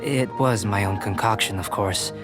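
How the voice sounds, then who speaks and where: An actor playing a calm, analytical young alchemist in a video game, speaking in a composed, measured, scholarly way. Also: male voice, fairly low pitch, over music, close to an everyday voice.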